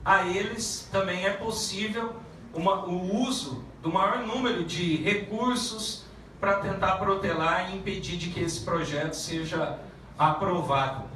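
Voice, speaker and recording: A man's voice: high voice, sharp tone, slight background noise. Echoey, far-off man speaking at a meeting. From Paraná, Brazil. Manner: halting, many restarts and fillers. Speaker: federal deputy. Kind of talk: public speech at a meeting.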